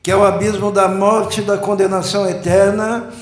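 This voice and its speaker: A man, preaching a sermon slowly and emphatically, building up repeated phrases. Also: high voice, flat delivery. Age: 60s. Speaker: pastor. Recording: echoey church room.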